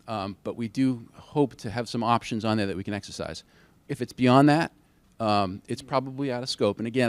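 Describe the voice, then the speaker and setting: A man answering questions as a contracting officer at an industry briefing, careful and hedging, with frequent restarts. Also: fairly low pitch.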